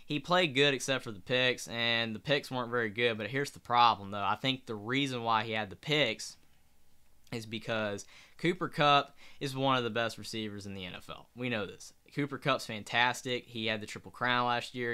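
A man's voice: mid-range voice, sharp tone, clean tone. A young man hosting his own sports channel from a small bedroom, talking into a webcam in a rambling, earnest way, tired late at night. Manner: rambling, restarts mid-sentence, earnest. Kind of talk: casual solo sports commentary. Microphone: webcam at desk.

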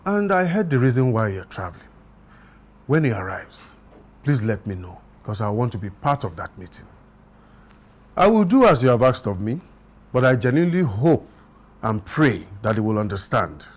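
An actor playing a regretful, dignified older chief in a film drama, solemn and measured. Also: male voice, medium pitch, big pitch swings. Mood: earnest.

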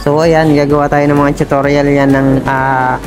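A man who trains barbers, narrating his vlog in a relaxed way with many fillers. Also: on the high side, normal speed, music in the background.

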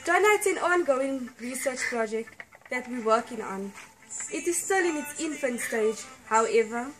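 A woman playing a grave puppet doctor with a measured, solemn manner in an amateur puppet show. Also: sits high, expressive, over music, poor audio. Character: puppet doctor, grave, gently breaking bad news.

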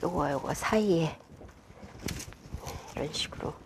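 한 중년 여성 꽃꽂이 강사가 실내 스튜디오에서 작업을 하며 설명하고, 말끝에서 자주 망설이며 혼잣말처럼 속삭이듯 말해요. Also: female voice, low voice, noisy background. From Korea. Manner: unhurried, talks while working, many fillers and half-whispered asides. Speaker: flower arrangement instructor. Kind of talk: demonstration lesson while working. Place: indoor studio with a flower table.

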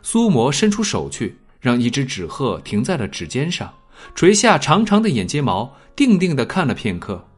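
A man tells a story as an audiobook narrator. His voice is husky and moves expressively up and down in pitch. Soft music plays behind the dramatic, scripted reading. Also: wildly swooping pitch, normal speed. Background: background music.

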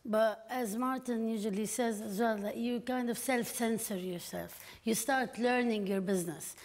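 A woman in her forties from Lebanon, a filmmaker guest at a live panel, telling stories in a lively way with quoted asides. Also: a little high, normal speed. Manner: lively storytelling with frequent laughs and quoted asides. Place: gallery room with seated audience. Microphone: stand mic at a distance. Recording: echoey room from a stand mic.

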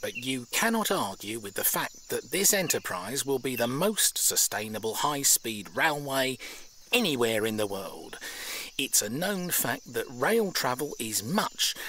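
A man's voice: mid-range voice, sharp tone, slight background noise. A man playing a defensive, earnest and easily flustered railway company surveyor in an audio drama.

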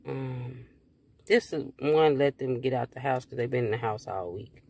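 A woman in her thirties chatting casually to her phone from inside a parked car, rambling and relaxed with many fillers. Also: extremely low pitch, moderate pace, husky. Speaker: vlogger. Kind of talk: casual car chat to camera. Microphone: phone held at arm's length.

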